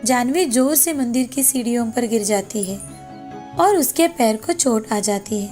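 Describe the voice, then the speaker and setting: A woman's voice: a little high, unhurried, warm tone. A woman narrator reading a scripted moral fairy tale as storytelling. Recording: background music under the voice.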